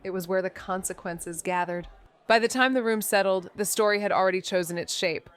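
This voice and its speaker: A woman's voice: mid-range voice, brisk, clean tone, close to an everyday voice. Woman as wry, observant noir girl sleuth. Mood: wry, brooding. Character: wry, observant young female amateur sleuth. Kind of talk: noir audio drama dialogue and first-person narration.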